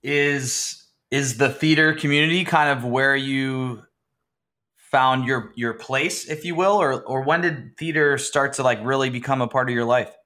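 A man's voice: mid-range voice, a little slow, pushed and bright. A man hosting a casual interview, asking long, rambling, friendly questions.

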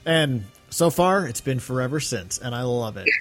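A man's voice: medium pitch, animated, moderate pace, soft background music. A man co-hosting a podcast in casual chat, earnest and chatty with many fillers. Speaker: podcast co-host.

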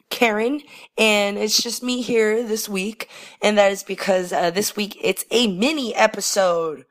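Raspy-voiced woman hosting a podcast. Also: mid-range voice, a bit bright. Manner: friendly, playful, apologetic. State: hoarse, under the weather. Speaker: quiz podcast host. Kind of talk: casual solo podcast announcement.

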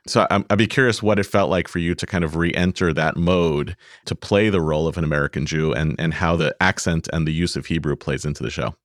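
Very low-voiced male interview host. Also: fairly quick, few pauses, dry recording, clean studio sound. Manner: thoughtful, laughs often, stumbles into questions. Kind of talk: conversational interview.

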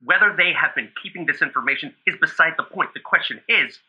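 An actor playing an earnest, insistent author debating as a phone-in guest on a radio news panel. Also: male voice, a little high, quick pace, sharp tone, breathy edge, full character voice. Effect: phone line. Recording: dull, muffled simulated phone line.

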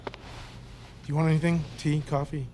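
A man playing a calm, accommodating army psychiatrist in an audio drama, speaking in a measured, polite way. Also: fairly high pitch, very noisy, lightly acted. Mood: calm, probing. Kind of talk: naturalistic audio fiction acting.

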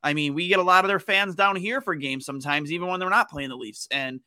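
Guy cohosting a hockey pod, bright punchy voice, stumbles and repeats words, super dry audio. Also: on the high side, dry recording. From Western New York, United States. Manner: halting, repeats words as he builds a point. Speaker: sports podcast co-host. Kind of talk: casual hockey chat.

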